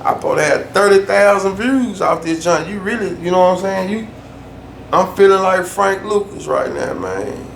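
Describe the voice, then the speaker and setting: Male vlogger, gravelly voice, loose slangy rant, echoey room with faint hum. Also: high voice, big pitch swings, moderate pace. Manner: loose, slangy, repeats himself for emphasis. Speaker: YouTube storyteller. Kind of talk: casual ranting vlog.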